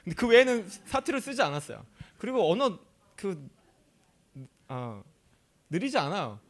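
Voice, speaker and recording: Animated, high-voiced pastor preaching casually. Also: male voice, moderate pace. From Chungcheong, South Korea. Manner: conversational and animated, asks the audience questions, laughs easily. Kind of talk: sermon.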